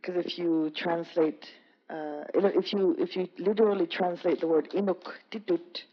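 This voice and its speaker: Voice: mid-range voice, steady tone, normal speed. A panelist in a conversation who hesitates and repeats words before finishing a thought. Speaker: panelist, artist. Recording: muffled, dull sound.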